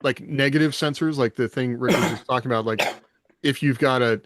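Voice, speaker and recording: A man with a middling pitch talks casually as a podcast co-host. His voice is recorded dry and close, with almost no room sound. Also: brisk, a bit bright, no echo. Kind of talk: casual discussion.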